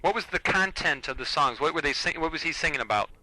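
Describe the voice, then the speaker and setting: A man's voice: fairly high pitch, expressive, fast, sharp tone, poor audio. A man interviewing, giving brief prompting questions.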